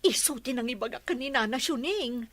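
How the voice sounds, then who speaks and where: A woman playing an excitable young village gossip, chatty and theatrical, in a comedy radio drama. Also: high voice, brisk. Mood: gossipy, excited.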